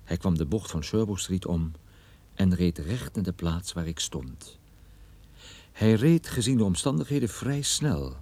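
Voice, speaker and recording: A man acting a level-headed private detective who tells his own case in a radio play, in measured, suspenseful storytelling. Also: on the low side, mellow. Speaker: radio drama actor. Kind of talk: radio play dialogue and first-person narration.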